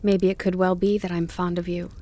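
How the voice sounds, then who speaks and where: A woman voicing a young female deer who answers in a soft, teasing, offhand way. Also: fairly low pitch, level tone, fairly quick, lightly acted. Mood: coy teasing.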